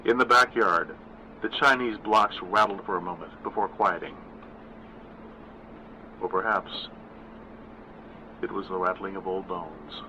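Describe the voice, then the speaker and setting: Low, bright-voiced older male narrator. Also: a little hiss or hum, rough recording. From California. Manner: measured, self-deprecating. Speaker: author and narrator. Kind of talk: scripted horror narration and casual backstage talk.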